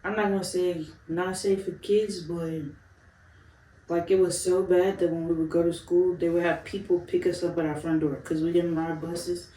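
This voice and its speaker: A woman's voice: fairly low pitch, little pitch movement, normal speed, mellow, recorded from a distance, a little hiss or hum. A young woman from Chicago, a guest in a casual interview, telling stories candidly, laughing often and swearing freely. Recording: roomy, off-mic, faint ambience.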